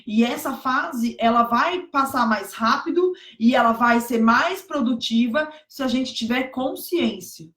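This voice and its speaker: A hoarse woman teaching exam preparation on a live stream, emphatic, repeating key points and checking that viewers follow. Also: a little high, measured pace, hardly any pauses, fairly bright tone. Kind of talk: motivational live stream talk. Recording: echoey room.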